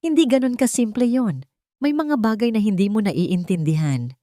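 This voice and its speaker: A woman's voice: mid-range voice, expressive, normal speed, mellow, no echo, voice pushed up, close to an everyday voice. Woman acting as guarded young male CEO, strained, stern, urgent, romance audio drama, dry sound. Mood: panicked pleading. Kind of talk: dramatic audio love story.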